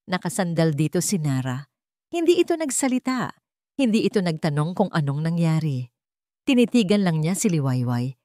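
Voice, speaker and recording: Lower-voiced woman narrating, dry studio sound. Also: darkish tone, no echo. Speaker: storyteller. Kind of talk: scripted dramatic story narration.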